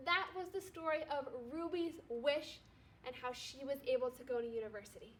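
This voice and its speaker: Casting: female second grade teacher, high-pitched, storybook read-aloud with noticeable background noise. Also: moderate pace, a bit bright.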